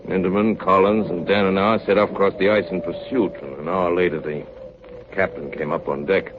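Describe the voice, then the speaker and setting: An actor playing a ship's chief engineer, gravely narrating a doomed Arctic expedition in a 1930s radio drama. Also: male voice, deep voice, fairly even intonation, fairly quick, close to an everyday voice. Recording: muffled, noisy 1938 radio broadcast recording.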